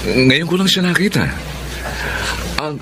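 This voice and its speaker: Swooping, flirtatious Filipino professor. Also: male voice, a little high, unhurried, background noise. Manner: flattering, flirtatious. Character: Sir James, smooth flirtatious male college professor. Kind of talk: Filipino radio soap drama acting.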